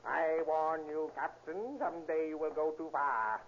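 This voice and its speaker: An actor playing a suave, unflappable Chinese crime boss who speaks with ornate, mock-polite formality in 1930s radio style. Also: male voice, on the high side, unhurried. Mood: menacing. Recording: muffled, hissy 1930s radio recording.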